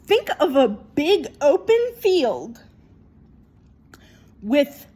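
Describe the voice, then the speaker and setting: A woman giving an unscripted opinion monologue in an emphatic, repetitive, halting way. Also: high-pitched, very animated, mellow. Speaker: video commentator.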